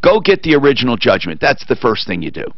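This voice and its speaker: A man's voice: big pitch swings, quick pace, no echo, dull audio. A man hosting a call-in radio show, talking with opinionated, emphatic rants into a studio mic. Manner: opinionated ranting, emphatic swings in pitch. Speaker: radio talk show host. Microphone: studio boom mic with headphones.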